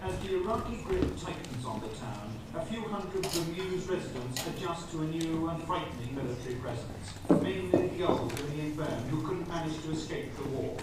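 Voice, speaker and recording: Male reporter, higher-pitched voice, scripted news narration, very echoey with loud noise. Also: expressive, moderate pace, hardly any pauses, far from the mic.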